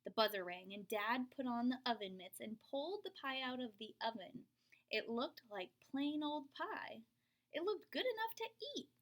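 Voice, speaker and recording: A woman reads a children's picture book aloud in a fairly high voice. Her telling is expressive, slipping into dramatic whispers and leaping up in pitch for emphasis. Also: normal speed. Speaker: storyteller reading aloud.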